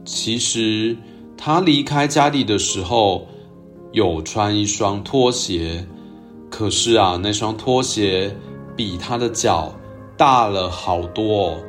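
A man hosting a storytelling show, narrating for young children in a gentle, expressive way. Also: fairly low pitch, slow pace, faint music behind. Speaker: children's storytelling podcast host.

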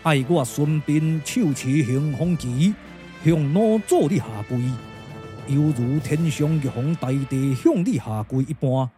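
A man voicing a solemn, courteous but unyielding Taoist commander, speaking in measured, formal declamation for a puppet-theatre radio drama. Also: fairly high pitch, expressive, warm tone, faint music behind. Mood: firm, defiant.

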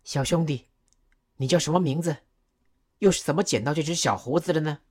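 A performer voicing a gentle, courteous male court advisor who speaks softly and patiently. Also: medium pitch, fairly quick, natural voice. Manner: soft, patient, kindly.

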